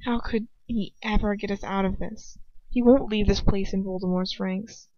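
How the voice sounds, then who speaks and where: A woman reading as a frightened, despairing young woman who argues with herself in a halting, anguished way. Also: medium pitch, fairly even intonation, warm tone, dry recording, lightly acted. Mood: torn, arguing. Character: frightened, despairing young woman narrating her own inner turmoil. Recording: dull, muffled lo-fi home recording.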